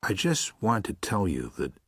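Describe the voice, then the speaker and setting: A man playing a gentle, reflective preacher of seventy-six in a first-person audiobook, with a measured, husky, aged voice. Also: on the low side, sing-song, no room sound, heavily acted. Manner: measured, tender, musing. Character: gentle, reflective 76-year-old Midwestern preacher writing to his son. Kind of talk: first-person audiobook narration with acted dialogue.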